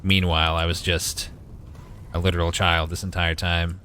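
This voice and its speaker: Very deep-voiced young man streaming a game. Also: level tone, moderate pace, sharp tone, a little hiss or hum. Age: 20s. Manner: wry, joking, mild swearing, talks to chat. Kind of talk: casual live gaming commentary with chat replies.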